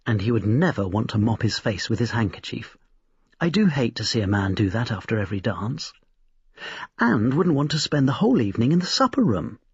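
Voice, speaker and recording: A man's voice: mid-range voice, big pitch swings, a little fast, husky, exaggerated voice. A man voicing critical, enthusiastic young society women in a storybook reading, in a gossipy, emphatic manner. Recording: roomy, slightly dull recording.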